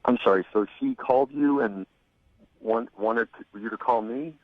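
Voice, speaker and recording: A man's voice: mid-range voice, no room sound. Hesitant man on a muffled phone line. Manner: halting, hesitant, many pauses and restarts. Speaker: caller on the phone. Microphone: telephone.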